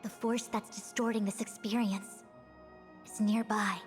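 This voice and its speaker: A woman's voice: mid-range voice, natural voice. Woman as a composed, formal lady sage, reverberant. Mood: serene, assured. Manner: calm, formal, measured. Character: composed, wise young female fortune-telling master. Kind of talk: acted video game dialogue. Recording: reverberant, with music behind.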